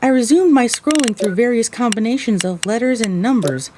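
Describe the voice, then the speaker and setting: Woman acting an expressive, high-voiced young pony heroine. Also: brisk, slight background noise, lightly acted. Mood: narrating. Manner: expressive, theatrical. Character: young female pony, weary, sarcastic, curious wasteland survivor. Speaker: narrator and lead character. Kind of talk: first-person dramatic audio narration.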